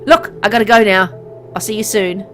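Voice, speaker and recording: Caring, stubborn young woman, acted by a female reader, brisk and affectionate, visual novel, music underneath. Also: mid-range voice, steady tone, natural voice. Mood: uneasy. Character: caring, stubborn young woman visiting her sick partner.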